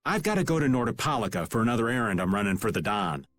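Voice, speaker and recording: A man voicing a laid-back, wry middle-aged rogue in an animated role-playing video game. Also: on the low side, fast talker, no room sound. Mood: wry, casual. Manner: casual, wry, refers to himself by name. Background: faint background music.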